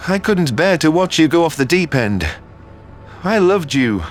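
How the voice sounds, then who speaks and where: A man's voice: fairly high pitch, very animated, a little slow, faint music behind. An actor playing a concerned, loyal human man in earnest, emphatic dialogue from a sci-fi audio drama. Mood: pained, tender.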